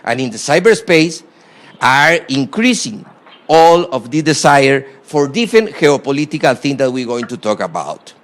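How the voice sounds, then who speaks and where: A man from Costa Rica with a strong Costa Rican Spanish accent gives a university guest lecture in halting English, full of fillers and restarts, with emphatic stresses on key words. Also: medium pitch, big pitch swings. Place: lecture hall.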